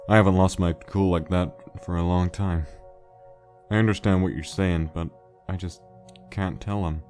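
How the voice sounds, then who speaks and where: A low, weary male skeleton monster played by a man tells his story over faint music. He is a guilt-laden joker, subdued and wistful, halting as he speaks in a dramatic comic dub. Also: steady tone, darkish tone, close to an everyday voice. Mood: apologetic, resigned.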